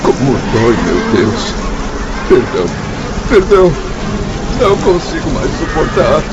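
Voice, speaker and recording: A man's voice: medium pitch, very animated, a little slow, dull audio, over loud music, over a noisy crowd. A man playing a tormented, penitent spirit pleading in agony in a radio drama. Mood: agonised. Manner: pleading, agonised. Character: penitent tormented spirit begging God for mercy.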